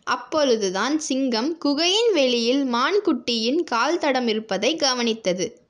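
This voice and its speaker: A woman narrating a scripted bedtime story for children as a storyteller. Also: sits high.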